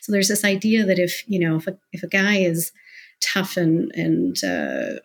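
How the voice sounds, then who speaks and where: A woman speaking as an interview guest, talking candidly and discursively about her working life in a conversational, animated way. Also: fairly low pitch, measured pace, breathy edge. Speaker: interview guest, business professional.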